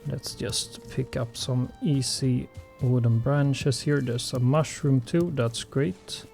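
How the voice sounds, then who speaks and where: A Swedish-born man talks through his survival gameplay at an unhurried pace, pausing often on ums and uhs. His voice is mellow and mid-pitched. Faint game music plays underneath. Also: fairly even intonation, vocal fry. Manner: slow, hesitant, many fillers. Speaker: gaming channel host. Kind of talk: relaxed gameplay commentary.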